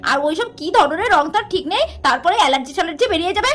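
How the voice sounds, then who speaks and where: A woman's voice: very high voice, lively intonation, very fast, fairly bright tone. A woman voicing a strict, exasperated mother doll in a cartoon story, scolding and sarcastic with firm refusals.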